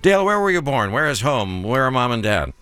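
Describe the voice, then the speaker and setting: A man hosting a public radio fundraiser in the studio, chatting genially with guests and slowing down to read out the pledge number. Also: mid-range voice, big pitch swings, fairly bright tone, clean tone. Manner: genial, chatty, slows to repeat phone numbers. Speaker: radio host. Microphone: studio mic.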